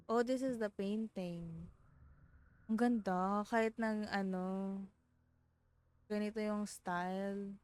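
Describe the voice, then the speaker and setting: A woman with a Filipino accent, streaming a game and reading its text aloud. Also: medium pitch. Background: game music.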